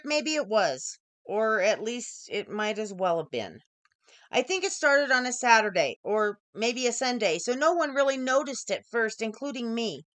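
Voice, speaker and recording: A woman giving an expressive scripted reading of her own short story as its author. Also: fairly high pitch, no room sound.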